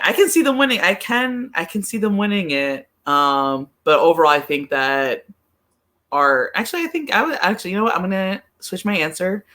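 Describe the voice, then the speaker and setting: Bright-voiced woman chatting about sports. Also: low-pitched. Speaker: podcast guest, soccer pundit. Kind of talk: casual sports chat, giving predictions.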